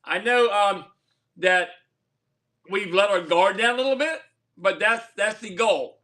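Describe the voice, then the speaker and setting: Guy hosting a live stream, high bright voice, repeats himself a lot. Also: unhurried. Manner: rambling, repeats words, laughs often. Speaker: livestream host. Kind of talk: casual livestream chat.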